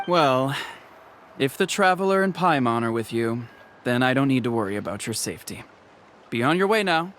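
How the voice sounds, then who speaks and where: Man voicing a calm, kindly aging chief. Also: medium pitch, moderate pace, a little hiss or hum, natural voice. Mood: reassuring. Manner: calm, reassuring. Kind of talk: English video game voice acting.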